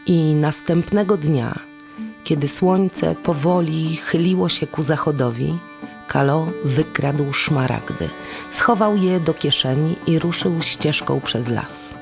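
A woman narrating a folk tale as a storyteller, with measured, deliberate phrasing and instrumental music behind her. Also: low voice, creaky. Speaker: storyteller and host of a podcast series.

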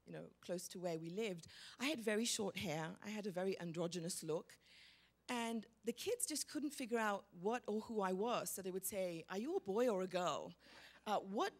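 A middle-aged woman from Egypt, telling a personal story on stage in a large hall, speaking expressively into a handheld microphone. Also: medium pitch. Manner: animated, expressive, gestures as she talks. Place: large hall with a live audience.

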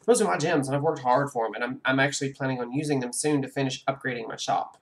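A man's voice: medium pitch, fast. Congested man chatting over gameplay. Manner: rambling, many ums and restarts. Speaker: gaming streamer. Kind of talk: casual live-stream chat over gameplay. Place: home room with a webcam.

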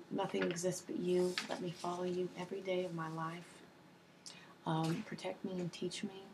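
A woman in her twenties with a hoarse voice from a cold, reading softly in an ASMR video at her desk. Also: fairly low pitch, a little hiss or hum, poor audio. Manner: soft-spoken, slow and even, with ums and uhs. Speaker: ASMR creator. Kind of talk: soft-spoken ASMR reading of a personal testimony. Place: small home office indoors.

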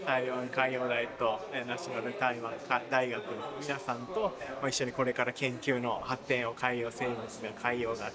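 A man's voice: mid-range voice, little pitch movement, fast talker, hardly any pauses, a loud crowd. A man in his thirties, a visiting researcher, answering interview questions on camera in a small lab room, chatty and eager, often affirming as he talks.

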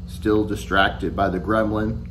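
A middle-aged man, an author reading his children's novel aloud to camera in an expressive, deliberate storytelling manner. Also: on the low side, slight background noise, low-quality recording. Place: furnished room at home, indoors.

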